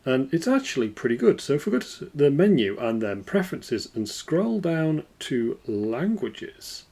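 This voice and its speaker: A man hosting a screen-recorded software walkthrough, explaining in a relaxed way. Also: mid-range voice, unhurried. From United Kingdom. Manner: relaxed explanatory, lively intonation. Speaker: tech video host.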